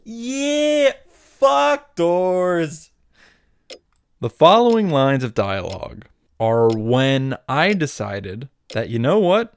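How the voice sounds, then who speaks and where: A man's voice: mid-range voice, very animated, a little slow, dry recording. A man narrating a comedic scripted recap as a video host, wry, playful and casually irreverent.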